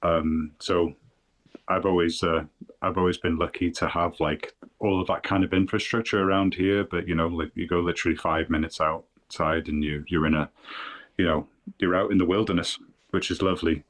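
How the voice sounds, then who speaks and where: North Welsh man, podcast guest, low deep voice, unhurried and hedging. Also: steady tone. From Wales. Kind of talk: casual podcast chat.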